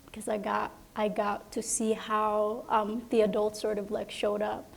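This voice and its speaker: Woman from somalia, halting, filler-heavy, soft, unhurried, bit of vocal fry. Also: medium pitch, monotone, rough recording. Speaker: playwright and panel guest. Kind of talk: reflective personal sharing.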